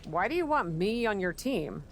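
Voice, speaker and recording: A woman playing a cheeky, quick-witted female wrestler and acting manager in a video game cutscene. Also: medium pitch, sing-song, slight background noise. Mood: guarded. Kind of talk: scripted game cutscene dialogue.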